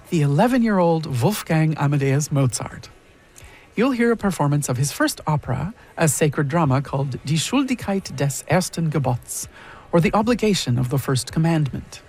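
A man's voice: a little high, a little mellow, husky. A man hosting a radio program, introducing what's coming up in a friendly, conversational read. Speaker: radio host. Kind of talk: scripted radio announcing.

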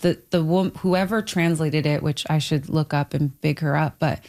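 A woman with a low-set voice shares her views in a casual discussion. She is candid and reflective, leaning on key words for emphasis as she thinks aloud. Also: steady tone, normal speed, a little mellow. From Toronto, Canada. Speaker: book club member on a discussion panel.

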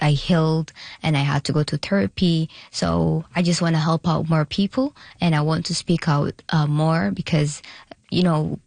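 A young woman with a low voice and a gravelly edge, speaking haltingly with many restarts. She is a guest in a radio studio interview. The sound is close and dry. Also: darkish tone, dry recording. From Eritrea, raised in Sudan. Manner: halting, frequent restarts and hesitations. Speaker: guest, pageant contestant.

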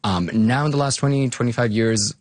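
A man from Bosnia-Herzegovina giving informal, conversational interview answers as an academic guest, often softening points as they go. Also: medium pitch, a little fast, no room sound. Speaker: academic guest, longtime fan of the topic.

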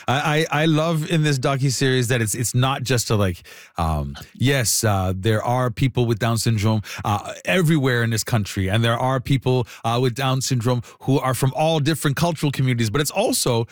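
A man's voice: medium pitch, normal speed, no room sound. Man interviewing with a dry sound. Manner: affirming, stumbling lead-ins.

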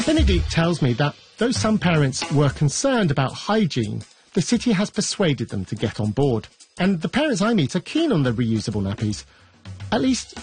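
A man's voice: fairly high pitch, a little mellow. A man working as a radio reporter, chatty and lightly humorous in reports and studio discussion.

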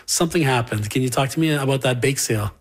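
A man's voice: medium pitch, fast talker, slightly rough. A man working as a radio reporter, asking friendly questions in a casual interview.

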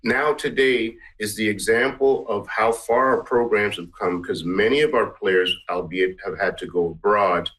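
A middle-aged musician from Guyana answering interview questions in an earnest, rambling way. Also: male voice, on the low side, normal speed, few pauses, a bit bright, low-quality recording. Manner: earnest, rambling, many restarts. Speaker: musician and composer.

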